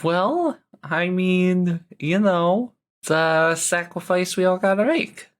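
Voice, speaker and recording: A man's voice: high voice, expressive, slow pace, pitched above the performer's own voice. Man playing a drawling, mischievous school kid. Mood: coaxing. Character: mischievous, scheming school kid coaxing a friend into truancy.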